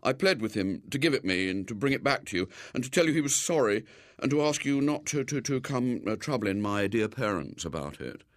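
A man's voice: mid-range voice, sing-song, fairly quick, dry recording, studio quality, lightly acted. Man playing a mournfully innocent, cheeky schoolboy. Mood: earnest pleading. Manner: mournful, hesitant mock innocence.

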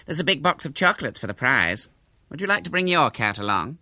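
Man putting on a smug, high-pitched schoolboy bully. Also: huge pitch swings, moderate pace, vocal fry, sharp tone, no room sound, clean studio sound, heavily acted. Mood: falsely innocent. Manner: sarcastic, mock-innocent, taunting. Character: smug, sneering schoolboy bully, sly and fat.

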